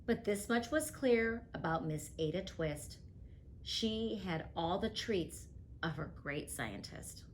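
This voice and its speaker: Slow, expressive woman reading a rhyming book. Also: fairly low pitch, a little hiss or hum. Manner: rhythmic, expressive rhyming delivery. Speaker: guest reader. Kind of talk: picture book read-aloud.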